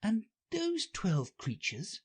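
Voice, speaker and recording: A polite little girl from a storybook as a man acts her out, speaking in a high, lilting voice. She sounds eager, curious and a bit prim. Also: wildly swooping pitch, slow, slightly rough, heavily acted.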